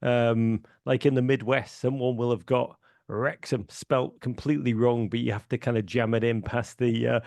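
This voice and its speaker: Middle-aged man, Liverpool accent, gravelly voice, enthusiastic rambling guest, dead dry sound. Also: mid-range voice, flat delivery, normal speed, darkish tone, no room sound. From Liverpool, England. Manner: enthusiastic storyteller, many restarts and fillers. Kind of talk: casual podcast chat.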